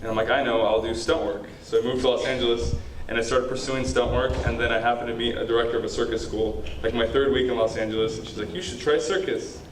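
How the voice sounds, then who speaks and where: Quick-talking man in noisy room. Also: mid-range voice, steady tone. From born in Ukraine, grew up in the United States. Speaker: acrobat in a stage show. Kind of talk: casual panel chat. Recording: echoey room, distant mic, loud noise.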